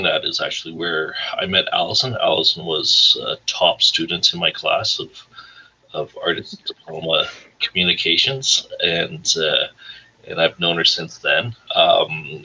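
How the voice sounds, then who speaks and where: Deep, bright-edged husky man hosting. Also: poor audio. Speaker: host of a weekly music career show. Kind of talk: casual interview over online call.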